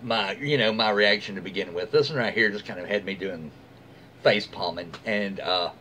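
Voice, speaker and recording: A man's voice: lowish voice, moderate pace, sharp tone, slight background noise. A middle-aged man reads written stories aloud in an informal way, dropping in casual asides and voicing dialogue in his own voice. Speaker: story-reading host. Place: small room at home, seated in an armchair.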